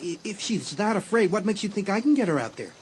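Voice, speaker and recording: A woman's voice: medium pitch, animated, quick pace, darkish tone, slight background noise, close to an everyday voice. A woman voicing a cajoling, impatient teenage boyfriend in a dramatised audiobook. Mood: doubtful. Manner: coaxing, casual.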